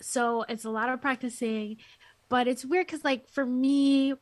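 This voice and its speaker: A woman, interview guest and music teacher, with a soft, light, sweet speaking voice, chatting casually with lots of fillers over a call. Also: high-pitched, steady tone, unhurried, no echo. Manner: rambling, filler-heavy, giggly. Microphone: headphones on a call.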